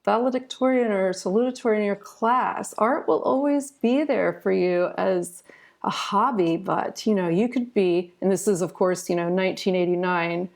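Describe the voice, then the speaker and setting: Interview guest: American woman, forties, gravelly fry in the voice, informal and candid storyteller. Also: mid-range voice, moderate pace, darkish tone. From southern Ohio, United States. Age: 40s. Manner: informal, candid, often adds "you know". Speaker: interview guest, retired physician turned author. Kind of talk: conversational personal storytelling.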